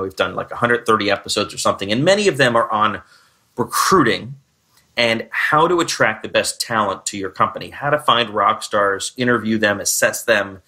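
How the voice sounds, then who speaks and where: A man hosting a podcast on recruiting, energetic in his intro and loose and chatty when asking questions. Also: fairly low pitch. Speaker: podcast host. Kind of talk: podcast interview.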